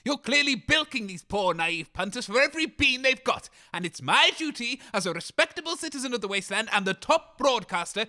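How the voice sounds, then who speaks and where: A pompous, huffy schemer of a broadcaster played by a man in comedy audio drama. His high voice is very bright and forceful, with animated, florid, theatrical phrasing. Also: a little fast, few pauses, full character voice. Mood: scheming, pompous.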